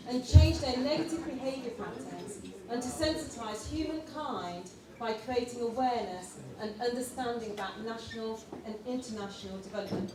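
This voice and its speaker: A woman presenting a nominee's profile at an awards night, formal and deliberate, as if reading. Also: on the high side. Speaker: nominee representative. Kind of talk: read-out organisation profile. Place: large hall at a live event. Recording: echoey hall, taken from far off.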